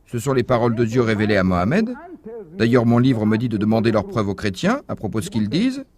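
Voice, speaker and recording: A man giving a measured, didactic religious lecture as a voice-over, posing rhetorical questions to the audience. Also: lowish voice, sing-song, crowd noise. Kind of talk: dubbed lecture, explaining a point.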